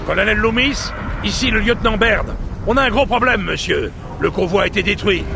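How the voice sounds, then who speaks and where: A man's voice: high-pitched, fairly quick, creaky. A man playing a brash lieutenant leading his squad in a video game, urgent and sardonic. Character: brash young squad-leader lieutenant in combat. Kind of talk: video game combat acting in French dub.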